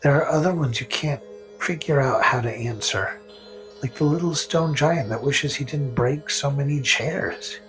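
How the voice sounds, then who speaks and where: A man's voice: medium pitch, unhurried, fairly bright tone, poor audio. Whimsical male storyteller over faint music. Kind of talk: scripted second-person fantasy narration. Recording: faint music under narration.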